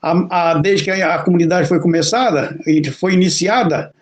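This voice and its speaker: A man with a notably high voice hosts an interview. He asks polite, chatty questions and rambles into his own memories. His tone stays friendly and conversational. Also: normal speed. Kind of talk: interview chat.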